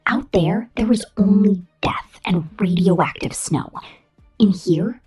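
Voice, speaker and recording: A woman voicing a vain, domineering female robot corporate boss who scorns humans, in a condescending, menacing ASMR roleplay with a whispery, deliberate delivery. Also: medium pitch, a little mellow, rough recording. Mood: possessive, condescending. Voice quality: breathy, whispery. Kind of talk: ASMR roleplay monologue, scripted.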